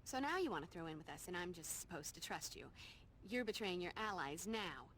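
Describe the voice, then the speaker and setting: An actress playing a bossy, tough young American vampire slayer in a game cutscene, blunt and no-nonsense. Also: female voice, on the low side, lively intonation, brisk, a bit bright, slight background noise. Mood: suspicious. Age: young adult. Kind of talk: video game cutscene acting.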